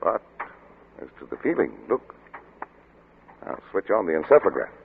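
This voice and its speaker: An actor playing an arrogant, obsessed middle-aged scientist, brisk and lecturing, in old radio drama style. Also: male voice, low-pitched, big pitch swings, creaky, full character voice. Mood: curt, insistent. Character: obsessed, arrogant male scientist, cold and impatient. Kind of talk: 1940s radio drama acting. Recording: narrow-band, noisy old radio recording.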